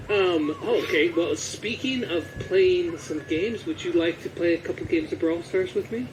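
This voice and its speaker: A man's voice: high-pitched, a little slow. A man hosting a casual gaming chat in an easygoing, encouraging way. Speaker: host. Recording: clearly noisy background.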